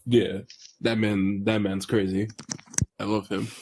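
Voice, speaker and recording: A man's voice: on the low side, flat delivery, measured pace, noisy background. A man in a casual podcast chat, talking in a blunt, joking, slangy way. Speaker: podcast panelist.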